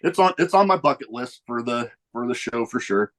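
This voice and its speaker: A man hosts a casual podcast in an easy, rambling manner. His voice sits at an ordinary middle pitch, and he often trails off or restarts as he chats. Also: fairly quick. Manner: relaxed, rambling, chuckles between thoughts. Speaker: podcast host. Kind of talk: casual chat.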